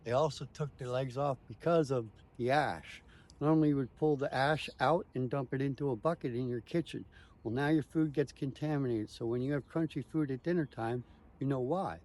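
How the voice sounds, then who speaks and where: A man's voice: medium pitch, normal speed, darkish tone. Guy doing tour guide talk, explains it step by step, short punchy statements. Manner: emphatic step-by-step explaining in short declarative phrases.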